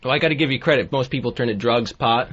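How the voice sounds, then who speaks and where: A man's voice: medium pitch, fast, fairly bright tone. A man co-hosting a talk show with dry, wry remarks in casual chat. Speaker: co-host. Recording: dull lo-fi audio.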